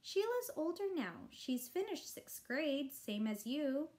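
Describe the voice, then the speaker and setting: A woman voicing a patient, reassuring mother in a storybook reading, calmly explaining things. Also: high-pitched, slow pace, voice pushed up, heavily acted.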